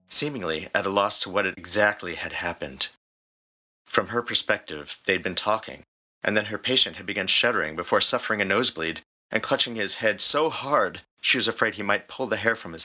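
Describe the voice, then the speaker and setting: Low-voiced man narrating dramatic fiction. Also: bright, forceful tone. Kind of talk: dramatic scripted story narration. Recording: prominent music bed.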